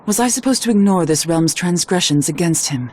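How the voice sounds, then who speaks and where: A woman playing a proud, lonely, resolute witch in a video game, with formal and measured speech. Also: fairly low pitch, expressive, darkish tone, a little hiss or hum, lightly acted. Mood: resolute, somber. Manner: formal, measured, archaic phrasing. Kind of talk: scripted video game voice acting.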